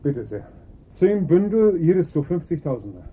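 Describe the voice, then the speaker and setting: An actor playing a polite, unruffled gentleman go-between with a courteous, composed manner. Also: very animated, moderate pace. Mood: matter-of-fact. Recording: dull vintage radio recording, faint rumble.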